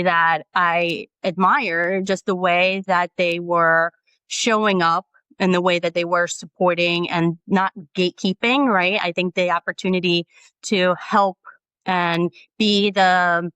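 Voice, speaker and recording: Bright, crisp-voiced woman guest. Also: on the low side, no room sound. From Brazil. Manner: earnest, reflective, with frequent "right?" and "you know". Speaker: guest, nonprofit founder. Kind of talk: conversational interview.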